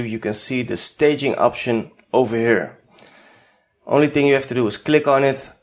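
A man from the Netherlands with a Dutch accent, whose first language is Dutch, giving an unscripted software tutorial in a hesitant way with many fillers. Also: mid-range voice. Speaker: tutorial host.

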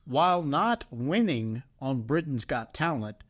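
Animated middle-aged pastor telling a story. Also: male voice, mid-range voice, a little slow. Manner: animated storyteller, emphatic and exasperated. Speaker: pastor, podcast co-host. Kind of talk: casual chat.

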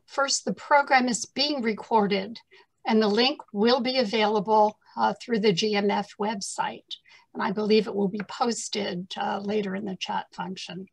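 An older American woman moderating a webinar over a video call from a home study, gracious and measured, reading prepared remarks. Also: on the high side, slightly rough, rough recording.

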